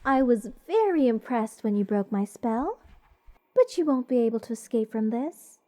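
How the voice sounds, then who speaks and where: A woman's voice: fairly high pitch, lively intonation, moderate pace, muffled recording, lightly acted. A woman playing a giddy, unhinged mage who gushes over cursed monsters in a fantasy ASMR roleplay. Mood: playfully taunting. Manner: breathy gasps, gushing, rambling, sweetly menacing.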